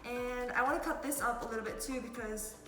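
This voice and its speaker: A woman's voice: a little high, steady tone, a little fast. A woman talking casually through a cooking tutorial in a kitchen, chatty and loose with lots of fillers. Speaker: cooking vlogger. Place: home kitchen. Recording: echoey room with background music.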